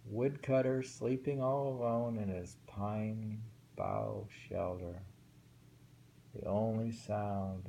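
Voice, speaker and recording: Slow, gravelly man reading poetry. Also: lowish voice, mellow, slight background noise, low-quality recording. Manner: musing, thinks aloud and rereads lines. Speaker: poetry reader. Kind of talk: reading poems aloud with casual commentary.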